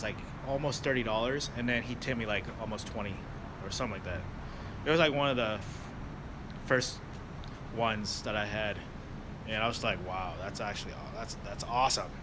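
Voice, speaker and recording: Young American man, casual livestream host, rambling and easygoing, street traffic behind him. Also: mid-range voice. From United States. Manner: rambling, loose, lots of fillers and restarts. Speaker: delivery driver livestreamer. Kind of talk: casual livestream chat answering viewers. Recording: noisy outdoor street background. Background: traffic and street noise.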